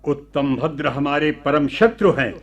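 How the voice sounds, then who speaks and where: An actor playing an unyielding cult high priest in a radio play, solemn and imperious. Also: male voice, measured pace. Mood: stern. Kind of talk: Hindi radio play acting. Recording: dull, echoey studio recording.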